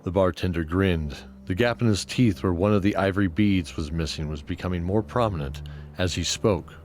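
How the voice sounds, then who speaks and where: A man narrating a scripted fantasy audio drama in a measured, descriptive storytelling manner. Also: sits low, a little mellow, a little hiss or hum. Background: music.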